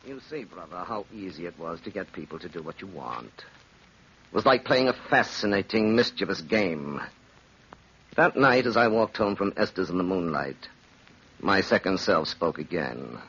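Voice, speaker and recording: A man's voice: sits low, expressive, normal speed, fairly bright tone, slightly rough, heavily acted. An actor playing a cold, ambitious, calculating man who talks persuasively and theatrically in an old radio drama. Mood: smugly reflective. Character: cold, calculating, smooth-talking ambitious male schemer. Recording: dull, lo-fi old radio recording.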